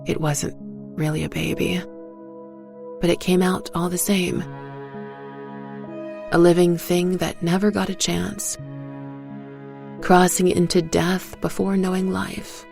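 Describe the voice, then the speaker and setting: A woman with a creaky voice narrating a dark first-person story in a solemn, measured manner. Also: fairly low pitch, little pitch movement, music in the background. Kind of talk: scripted dark fiction narration.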